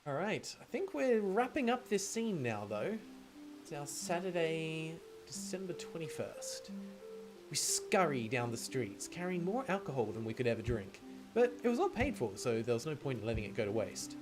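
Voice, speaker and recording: A man's voice: fairly high pitch, very animated, moderate pace. A man streaming a game, reading visual novel narration aloud and adding casual commentary. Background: music.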